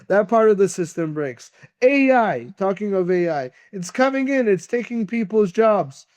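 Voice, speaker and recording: A man's voice: high voice, smooth, no room sound. A man whose first language is Russian, a podcast guest talking earnestly about hard work, often repeating words as he starts sentences. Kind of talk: conversational interview.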